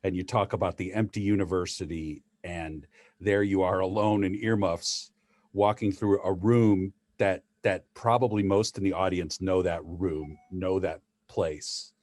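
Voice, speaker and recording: A man's voice: low-pitched, steady tone, a little slow, a little mellow, dry recording. A man, an actor, speaking effusively and casually in a discussion over a video call. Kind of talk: casual post-show discussion. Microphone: laptop video call.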